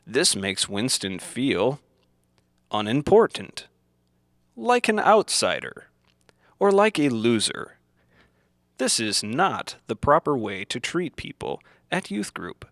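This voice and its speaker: Slow, lilting male instructional narrator. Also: mid-range voice, big pitch swings, a bit bright, no room sound, studio quality. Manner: deliberate, didactic, simple enunciated phrasing. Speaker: narrator of an educational film parody. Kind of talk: scripted instructional narration, old educational film manner.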